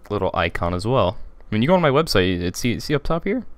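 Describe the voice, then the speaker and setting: A teenage boy with a deep voice, hosting a casual livestream and chatting with viewers, rambling and repeating himself with many fillers. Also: big pitch swings, quick pace. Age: teens. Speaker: livestream host. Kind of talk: casual livestream chat reading comments.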